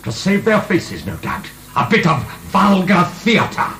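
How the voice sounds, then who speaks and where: An actor in a radio drama playing an imprisoned marquis, a defiant, contemptuous and morbid philosopher, theatrical and sneering. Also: male voice, high voice, big pitch swings, a little slow, bright, forceful tone, soft background music, exaggerated voice. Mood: scornful, gleeful. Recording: hissy archived radio drama.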